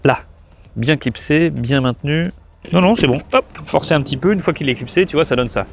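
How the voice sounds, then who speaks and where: A man's voice: a little high, animated, a little fast, creaky, slight background noise. A man giving a casual hands-on product tutorial, chatting informally to the viewer and thinking aloud as a gear reviewer.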